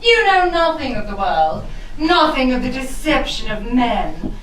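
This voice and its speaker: A woman's voice: high-pitched, very animated, unhurried, noisy background. A woman playing a scheming, vengeful rich widow in a rhyming costume drama, with an arch, grandiose and condescending manner. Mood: scornful. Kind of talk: theatrical rhyming costume-drama stage acting. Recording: roomy, distant microphone.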